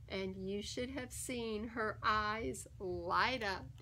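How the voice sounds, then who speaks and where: A woman reading a children's picture book aloud in an expressive, deliberate and emphatic storytelling manner. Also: mid-range voice, slight background noise. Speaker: story time reader.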